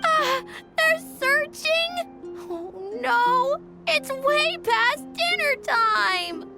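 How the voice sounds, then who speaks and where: A woman's voice: very high voice, animated, extremely slow, heavily acted. A woman voicing a curious, innocent little child in a video game, earnest and childlike. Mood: worried. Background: background music.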